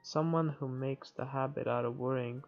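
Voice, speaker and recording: Creaky-voiced game player reading aloud over music. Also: mid-range voice, steady tone, moderate pace, a little mellow. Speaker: video game playthrough narrator. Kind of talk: reading visual novel narration aloud with offhand comments. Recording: muffled recording with music behind.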